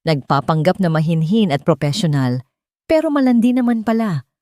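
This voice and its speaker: A woman's voice: on the low side, lively intonation, moderate pace, dark tone, no room sound, close to an everyday voice. A woman with a Filipino accent voicing a haughty, venomous rich fiancée in an audio story, condescending and sweetly cruel. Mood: venomous, furious. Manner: condescending, mixing English into Tagalog.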